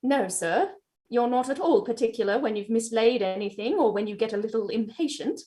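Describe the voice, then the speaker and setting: A prim housekeeper acted by a woman in a stage play, high-pitched and very animated. She is proper and deferential, yet firmly insistent. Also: brisk, lightly acted. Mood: firm reproof. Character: prim, firm, respectful housekeeper scolding her master.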